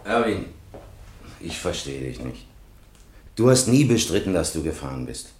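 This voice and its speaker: An earnest lay defender in a radio courtroom play. His low voice rises and falls widely as he pleads and reproaches. The room is echoey. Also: big pitch swings, moderate pace, darkish tone. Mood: earnest, disappointed. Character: earnest, exasperated male workmate acting as lay defender. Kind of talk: German radio crime drama acting. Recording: roomy, echoing courtroom sound.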